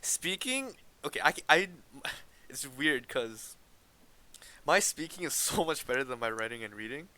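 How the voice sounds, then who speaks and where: A man's voice: medium pitch, very animated, measured pace, sharp tone. A teenage boy from Canada co-hosting a podcast, chatting casually and hesitantly, often restarting and laughing as he explains. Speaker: podcast co-host. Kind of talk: casual chat.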